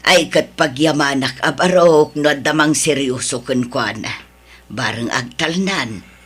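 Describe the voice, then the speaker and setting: Man voicing a raspy, fussing elderly Ilocano mother. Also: mid-range voice, unhurried, a bit bright. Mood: apologetic, coaxing. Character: apologetic, fussing elderly mother of a grown daughter. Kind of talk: Ilocano radio drama acting.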